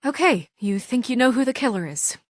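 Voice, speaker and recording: A woman's voice: fairly high pitch, animated, fairly quick, dry recording, studio quality. An actress voicing a composed, dutiful woman in a video game, earnest and controlled, with a stern edge when pushed. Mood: exasperated. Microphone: dry studio mic.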